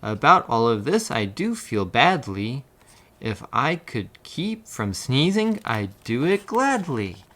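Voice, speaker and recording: A man's voice: mid-range voice, big pitch swings, slow, pushed and bright, heavily acted. A man voicing a big, apologetic, easily frightened elephant in a children's storybook. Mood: sad, apologetic.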